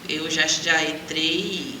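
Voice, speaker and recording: A woman's voice: sits low, fairly even intonation, slow pace. A middle-aged woman from Brazil with a Bahian accent, telling her life story as an interview guest. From Salvador, Bahia, Brazil. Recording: echoey, distant mic, clear background noise.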